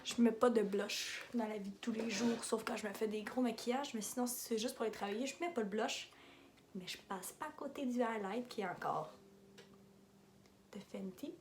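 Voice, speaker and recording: A woman's voice: on the high side, normal speed, darkish tone, slight background noise. Chatty Québécois woman doing makeup. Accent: Québécois French. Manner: chatty, rambling asides with English makeup terms. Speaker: makeup vlogger. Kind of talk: casual makeup tutorial.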